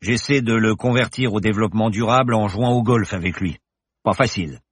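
A man's voice: on the low side, a little fast, breathy edge, no room sound, studio quality, pitched above the performer's own voice. A man voicing a boastful, eccentric older male professor who flies a plane, talking in a jovial, showy way. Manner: jovial, showy, lofty speech.

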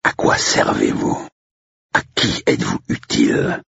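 Man acting a very deep, growling, menacing hero. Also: big pitch swings, vocal fry, fairly bright tone, breathy edge, no echo, muffled recording, full character voice. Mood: growling contempt. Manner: growling, deliberate. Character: stern, menacing Englishman, slow-spoken and coldly determined.

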